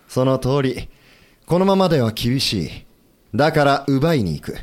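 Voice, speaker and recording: Resolute, animated navy commander. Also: male voice, medium pitch, slow. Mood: insistent, persuasive. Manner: formal, persuasive, assured. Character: resolute, Western-minded navy commander leading a rebel republic. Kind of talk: Japanese historical audio drama acting.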